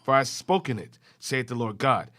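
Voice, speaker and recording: A man reading scripture aloud in a class, with a steady, formal reading cadence. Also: mid-range voice, normal speed.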